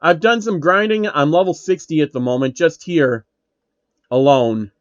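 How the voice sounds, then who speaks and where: A man's voice: fairly high pitch, moderate pace, dry recording, muffled recording, lightly acted. A man voicing a cocky, hot-tempered male game streamer from a story, whining and complaining indignantly. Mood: downcast.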